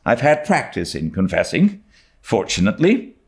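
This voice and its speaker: A man's voice: lowish voice, wildly swooping pitch, normal speed, vocal fry, voice pushed up, full character voice. A man voicing an earnest, dramatic young orphan girl with a pleading, resolute manner in an audiobook. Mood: anxious, determined. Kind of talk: dramatised audiobook reading of a classic novel.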